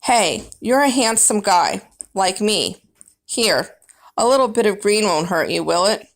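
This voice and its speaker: A woman's voice: mid-range voice, animated, a little slow. A woman voicing a friendly, jovial male night janitor in a storybook, hearty and playful. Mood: jovial, kind.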